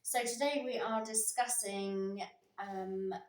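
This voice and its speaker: A woman's voice: medium pitch, fairly even intonation, slow, recorded from a distance. A woman co-hosting a casual podcast, opening the show and chiming in with short supportive agreement and light teasing. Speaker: podcast co-host. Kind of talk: casual chat. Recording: echoey room, voice off-mic.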